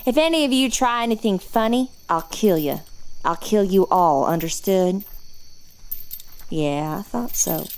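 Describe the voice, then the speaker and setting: A woman's voice: medium pitch, lively intonation, a little slow. An actress playing an impulsive, flippant outlaw woman who threatens hostages casually. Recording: loud background music.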